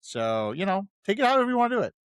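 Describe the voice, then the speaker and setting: A man's voice: sits high, big pitch swings, very fast, smooth, dry recording, clean studio sound. A man co-hosting a casual film discussion, talking conversationally and restating his points with emphasis. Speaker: podcast co-host.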